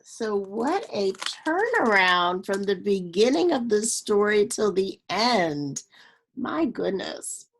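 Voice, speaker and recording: Slow-paced woman reading a storybook. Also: mid-range voice, sing-song, slight background noise, poor audio. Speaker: storybook reader. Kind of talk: read-aloud storytelling for children.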